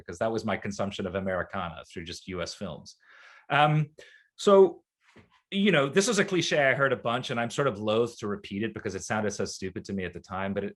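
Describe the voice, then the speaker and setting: A man with an everyday middle-pitched voice, speaking casually to a group of students as an invited former pupil. He talks in loose, rambling stretches, restarting his sentences and filling pauses with "um" and "you know". It sounds like a video call. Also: a bit bright. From grew up in Malaysia and Libya. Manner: rambling, self-correcting, frequent fillers. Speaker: alumnus guest speaker.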